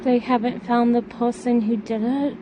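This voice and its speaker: A woman's voice: on the high side, steady tone, moderate pace, dull audio, lightly acted. A woman playing an anxious, grieving young daughter in an amateur audio drama, speaking in a hesitant, subdued way. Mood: sad. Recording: noisy hobby recording.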